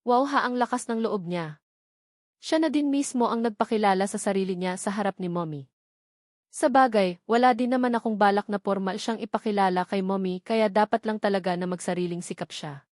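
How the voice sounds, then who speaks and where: A woman narrates a novel in the first person, working from a script. Her voice sits at a middle pitch, and the sound is dry with little room in it. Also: brisk, darkish tone, no echo. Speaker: audiobook narrator.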